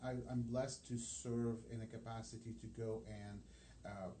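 A man's voice: mid-range voice, level tone, moderate pace, dark tone, a little hiss or hum. A man who is a native Arabic speaker, a missions pastor talking as an interview guest, hesitant with many fillers and restarts.

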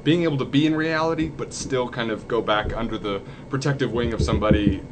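A man's voice: mid-range voice, fast talker. A young man, a student, talking earnestly in a group discussion and voicing his own questions as he goes. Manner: earnest, acts out questions in quotes. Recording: background noise.

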